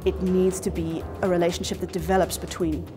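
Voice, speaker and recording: Lowish-voiced South African woman explaining. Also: flat delivery, brisk, over music. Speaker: festival organiser. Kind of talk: interview.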